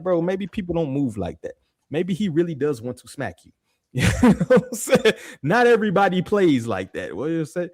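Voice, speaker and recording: A man's voice: on the high side, darkish tone, dry recording, studio quality. A man, hosting a podcast in casual street slang, often checking in with "you feel me.". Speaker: podcast host. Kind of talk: casual chat.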